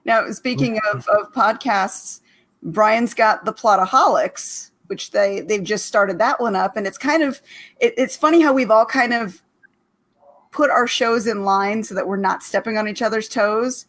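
A woman's voice: medium pitch, unhurried, a bit bright. A woman co-hosting a casual online chat, telling stories in a chatty way. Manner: chatty storyteller. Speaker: podcast co-host.

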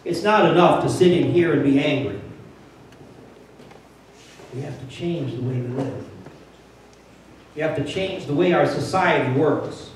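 An older man preaches in a plainspoken, conversational way, his voice sitting a little high for a man. He is heard from a distance through a handheld mic in a very echoey church sanctuary, with a faint hum of room sound underneath. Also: unhurried, pauses often. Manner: plainspoken and conversational, building to urgent emphasis. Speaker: pastor. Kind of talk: sermon. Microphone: handheld mic, filmed from a distant camera.

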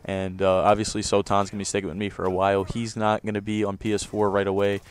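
Young guy, really deep voice, smooth and kinda monotone, rambles over his gameplay on a home mic. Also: quick pace. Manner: rambling, self-correcting, loose slang. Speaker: gaming commentator. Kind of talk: casual gameplay commentary.

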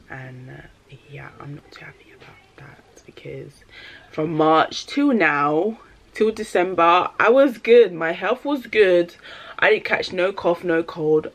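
A woman, chatting casually in a product review vlog, a little rambling and full of fillers, her voice slightly deepened by a cold. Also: a little slow, creaky, slightly rough. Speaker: vlogger. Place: small bedroom indoors.

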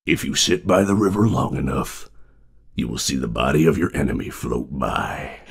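A man voicing a smug animatronic in a game who speaks in proverbs, with a creaky voice. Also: a bass voice, lively intonation, moderate pace, exaggerated voice.

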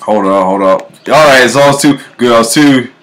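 A man in his thirties with a low bass voice, casually reacting to memes at home, laughing often and breaking into off-key singing. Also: normal speed, fairly bright tone. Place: home kitchen, indoors. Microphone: webcam at close range.

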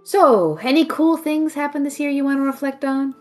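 A woman's voice: high-pitched, normal speed, a little mellow, soft background music, natural voice. A woman playing a dry, affectionately sarcastic young homebody, teasing her best friend in an audio drama. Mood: dry, fond. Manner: wry, teasing.

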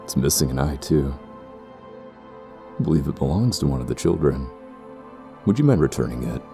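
A man's voice: a bass voice, lively intonation, normal speed, gravelly, warm tone, soft background music, exaggerated voice. A man playing a cold, condescending and ruthless warrior clansman in an audio roleplay, speaking in a haughty, formal way. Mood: mock-polite menace. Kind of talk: scripted audio roleplay acting.

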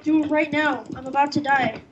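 A woman playing along in a casual game video, whining and protesting excitedly. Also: high-pitched, brisk, muffled recording, crowd noise. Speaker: co-player. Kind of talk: casual gaming chat. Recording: loud noise and clicking behind.

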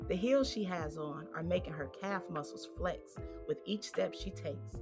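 A woman with an American accent narrating a scripted story as its storyteller. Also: lowish voice. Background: music.

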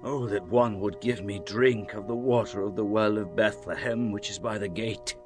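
A man's voice: lowish voice, fairly even intonation, a little mellow, faint music behind, close to an everyday voice. Man acting solemn, declamatory old biblical king. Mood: longing. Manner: declamatory, deliberate. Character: aging biblical king David, solemn and devout. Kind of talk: dramatized scripture reading.

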